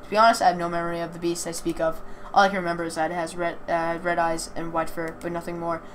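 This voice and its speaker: A woman's voice: on the low side, little pitch movement, fast, low-quality recording. A woman reading a story aloud with casual asides, stumbling over words and correcting herself. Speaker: reader of a visual novel playthrough. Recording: clear background noise.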